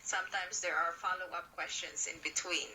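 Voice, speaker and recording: A woman's voice: lowish voice, steady tone, moderate pace, a loud crowd, rough recording. A woman tutoring English online, patient and friendly, explaining phrases simply.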